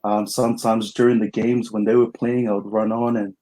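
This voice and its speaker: A man's voice: lowish voice, flat delivery, brisk, dark tone. A young man from New Zealand with a New Zealand accent, telling his story as an interview guest in an easygoing, plain way.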